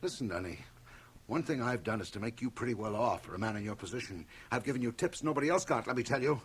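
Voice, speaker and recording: A man's voice: medium pitch, expressive, fast talker, slight background noise, natural voice. An actor playing a self-important older businessman in a radio drama, brusque, blustering and dismissive. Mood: defensive, indignant. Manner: brusque, pompous. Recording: cassette transfer of 1980 radio drama.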